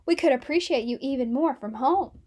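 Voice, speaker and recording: A woman puts on a cheeky grade-school child from a storybook. The voice is high and eager, pushing each question and idea forward. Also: lively intonation, fairly quick, darkish tone. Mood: indignant surprise.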